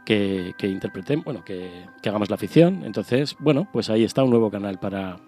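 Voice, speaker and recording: A man's voice: fairly low pitch, expressive, fairly quick, dark tone, faint music behind. A man with an accent from Spain co-hosting a casual radio show in a hesitant, rambling way. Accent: Spanish (Spain).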